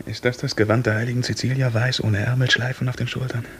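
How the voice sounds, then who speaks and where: Defiant young serf, urgent and commanding. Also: male voice, mid-range voice, flat delivery, fast talker, husky. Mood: curt, controlled. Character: bold, defiant young serf hairdresser fleeing with his beloved. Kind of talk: 1950s German radio drama acting. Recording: vintage 1950s radio recording, music behind.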